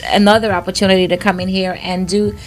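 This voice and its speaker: A woman's voice: mid-range voice, little pitch movement, fast, rough recording. A woman from Guyana with a Guyanese accent, co-hosting a podcast in casual chat. She laughs often and restarts her phrases. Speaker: podcast co-host.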